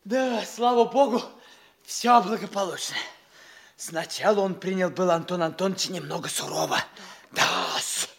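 An actor playing an excitable, gossipy, servile little provincial gentleman in a theatrical classic comedy, breathless and self-important. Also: high voice, normal speed, vocal fry. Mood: gossipy, confiding.

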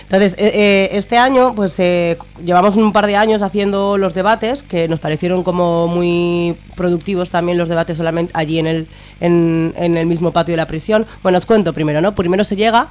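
A woman's voice: lowish voice, fairly even intonation, normal speed, a little mellow. A woman with a Spanish accent from Spain speaking as an activist guest in an informal radio interview, hesitant and colloquial, often checking for agreement. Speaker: activist guest, member of a citizens' assembly.

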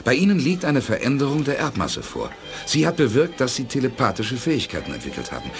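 An actor playing a calm, decisive space hero and leader in a 1980s German radio play, speaking with measured authority. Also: male voice, medium pitch. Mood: measured, probing. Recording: music behind studio radio drama.

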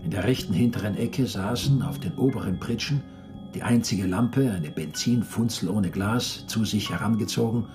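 A man plays a weary, submissive camp prisoner in a literary radio play. His voice is lowish, measured and restrained, with music clearly audible underneath. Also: close to an everyday voice. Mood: sober, restrained. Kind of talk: literary narration and dialogue in a German radio drama. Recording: voice over clear background music.

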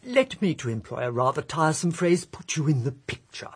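Discreet English diplomat, radio drama: very breathy, animated intonation, persuasive and formally wordy. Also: male voice, a little high, a little fast, full character voice. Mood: grave, formal. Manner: smooth, formal, emphatic, circumlocutory. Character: polished, discreet, persuasive male British diplomat.

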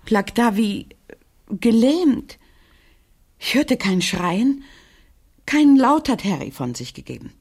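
A woman playing a sad, guarded widow in a German radio crime drama, speaking gently in a hushed, hesitant, measured way. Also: on the high side, big pitch swings, breathy edge, heavily acted. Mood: haunted recollection.

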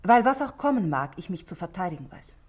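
Middle-aged wife, low-pitched female voice, articulate, guarded, polite, worried, vintage radio drama. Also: big pitch swings, fast talker. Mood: defensive. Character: composed, talkative married saleswoman confiding worriedly about her husband. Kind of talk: 1960s German radio play acting.

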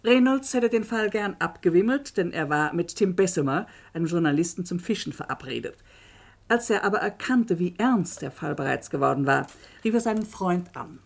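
An actress playing an assured doctor who narrates a case report in a dry, articulate, measured way in a German radio play. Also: female voice, on the low side, expressive, gravelly.

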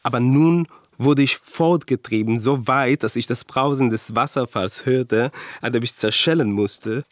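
A man's voice: mid-range voice, unhurried, dry recording, close to an everyday voice. A man playing a frightened, self-doubting cancer survivor, speaking an earnest, introspective confessional monologue in a radio play. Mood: haunted recounting. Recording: dry studio with faint music.

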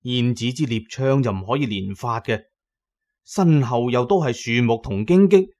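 A man's voice: medium pitch, animated, moderate pace, a little mellow. Cantonese male storyteller, dramatic narration. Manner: storyteller delivery with colloquial asides. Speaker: audiobook narrator.

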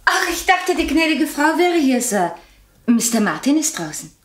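An actress playing a polite, deferential housemaid in a German radio crime drama, heard in a roomy, echoing space. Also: female voice, sits high, very animated, moderate pace. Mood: dutiful.

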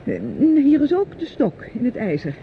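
An actress playing a polite, earnest young niece who explains things informatively in a radio drama. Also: female voice, sits high, big pitch swings, moderate pace. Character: polite, earnest young niece showing someone around town. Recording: dull, faintly noisy old radio play recording.

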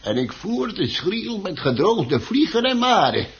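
A hesitant, higher-voiced shopkeeper, muffled radio. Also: male voice, big pitch swings, measured pace. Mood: earnest. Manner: hesitant, stammering, repeating himself. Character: male plant shop keeper, hesitant, chatty, grumbling about his plant. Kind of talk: Dutch radio play acting. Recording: dull, muffled old radio recording.

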